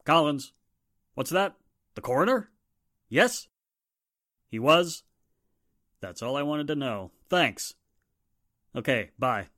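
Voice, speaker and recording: A man's voice: fairly high pitch, lively intonation, slow, pauses often, sharp tone, no room sound, clean studio sound, heavily acted. A man acting a tough, bossy police inspector in a 1940s-style radio drama, curt and commanding. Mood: brisk.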